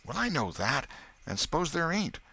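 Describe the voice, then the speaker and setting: A man voicing an excitable, superstitious, self-pitying schoolboy in a rural Southern American dialect for an audiobook. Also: a little high, measured pace, vocal fry, husky, dry recording, pitched above the performer's own voice, exaggerated voice. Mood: eager, superstitious. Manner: folksy dialect, exclaiming and whispering. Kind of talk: audiobook character acting.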